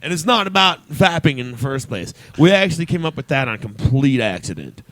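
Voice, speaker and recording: A man's voice: mid-range voice, sing-song, a little fast, a bit bright. An American man hosting an unscripted comedy radio show, bantering in a jokey, exclamatory and self-mocking way. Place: small community radio studio.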